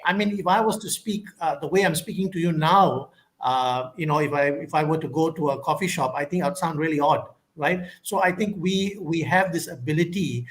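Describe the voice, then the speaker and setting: A man's voice: on the high side, brisk. A man with a Malaysian accent answering conference questions in a thoughtful way, full of fillers and restarts. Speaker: conference speaker. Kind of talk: academic Q&A answer.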